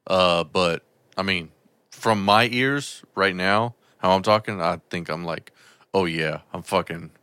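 Brief: male podcast guest, deep resonant voice, loose rambling chat, dry close mic. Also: unhurried, bright, forceful tone, no room sound. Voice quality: deep voice, throat often raspy. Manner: rambling, swears, stammers on restarts. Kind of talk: casual chat.

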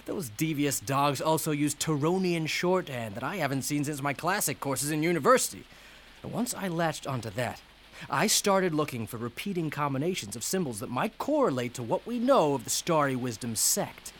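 A man's voice: a little high, a little hiss or hum, lightly acted. An actor in a radio drama playing a chatty, eager scholarly man with a hesitant, fussy way of talking.

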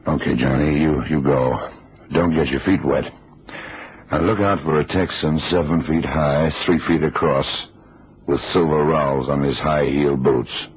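On a muffled old radio drama recording, a dry-witted investigator boss with an extremely low voice. He is laconic and clipped, casually in command of those around him. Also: steady tone, a little slow, slightly rough, heavily acted. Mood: wry, businesslike.

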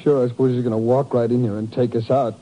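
An actor playing a bitter, fearful, disillusioned turncoat agent with an agitated, self-mocking manner in 1950s radio drama style. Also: male voice, medium pitch, flat delivery, fast, highs cut off, lightly acted. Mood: fearful sarcasm. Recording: old radio recording, faint noise.

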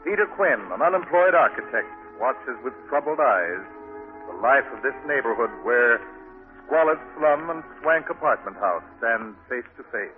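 A man narrates a 1940s radio drama in a scripted, theatrical style. His voice is mid-pitched and sounds thin and band-limited, with clear music in the recording. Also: expressive, normal speed. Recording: tinny old radio broadcast with music.

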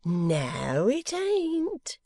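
A woman voicing a warm-hearted, delighted old working-class wife in a classic novel reading, with folksy, exclamatory speech. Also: medium pitch, very animated, unhurried, no echo, pitched above the performer's own voice. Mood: teasing, excited.

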